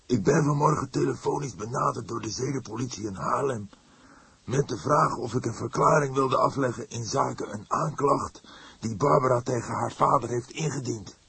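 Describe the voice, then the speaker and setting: Fervent, declaiming holy man, pitched high. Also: normal speed, gravelly, fairly bright tone. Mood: uneasy, guarded. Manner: solemn, declamatory, fiery. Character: fervent, pious long-haired religious father, solemn and preachy. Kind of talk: audiobook character acting.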